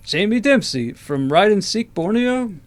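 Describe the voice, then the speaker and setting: A man voicing a gruff, barking biker in a hammy comedy-script reading. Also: high-pitched, sing-song, a little slow, voice pushed up. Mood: loud, excited. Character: gruff, loud male biker guarding a bar.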